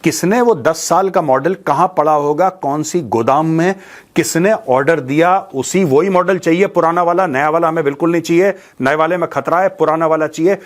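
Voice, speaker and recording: A man's voice: on the high side, moderate pace. An Indian man hosting informal news commentary, speaking in a blunt, colloquial, mocking way and switching into Indian-accented English. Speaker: commentator and show host.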